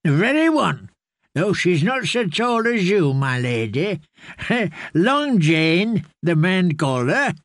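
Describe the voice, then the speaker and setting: Man reading old ragged healer, gentle, courteous, higher voice, unhurried. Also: sing-song, no echo, clean studio sound, exaggerated voice. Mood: soothing. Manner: courteous, soothing.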